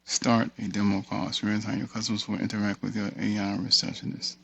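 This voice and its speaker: An American man casually thinking aloud through a screen-share software tutorial, reading bits of text and muttering asides to himself. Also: fairly low pitch, flat delivery, quick pace, gravelly, husky, muffled recording.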